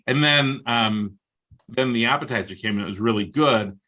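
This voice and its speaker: An American man with a bright, forward voice at an ordinary male pitch. He talks casually as an interview guest on a video call, with wry, self-mocking humor and a taste for comic exaggeration. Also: normal speed. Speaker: guest author.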